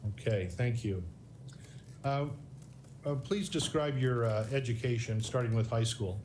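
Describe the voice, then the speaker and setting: Halting male lawyer, medium pitch. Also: darkish tone. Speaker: plaintiff's lawyer. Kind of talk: formal deposition questioning. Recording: faint background noise, video call.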